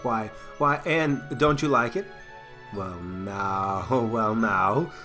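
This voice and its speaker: Woman voicing an elderly farmer man, shy, hesitant, deep for her, slow, storybook reading. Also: fairly bright tone, faint music behind, exaggerated voice. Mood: fumbling. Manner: hesitant, stammering.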